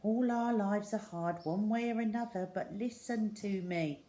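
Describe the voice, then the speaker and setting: A woman's voice: mid-range voice, a little slow, mellow, pitched above the performer's own voice, natural voice. A woman voicing a plucky, kind little schoolgirl in a children's storybook, earnest and confiding in manner.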